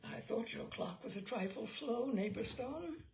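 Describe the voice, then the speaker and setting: A woman's voice: on the high side, lively intonation, a little slow, gravelly, a little hiss or hum. A woman voicing a composed, firm, folksy male American lawyer and orator in a storybook reading. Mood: cool. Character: cool, stouthearted American lawyer and orator, a grown man.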